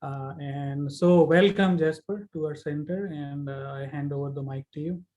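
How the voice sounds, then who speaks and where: Guy with a slightly high voice doing a semi-formal intro for a webinar speaker. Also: moderate pace, mellow.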